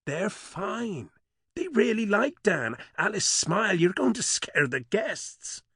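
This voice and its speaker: A male narrator voicing the heroine's teasing, upbeat brother, pitched higher than his narration. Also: takes it slowly, slightly rough, dry recording, studio quality, heavily acted. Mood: reassuring. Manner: lively, teasing.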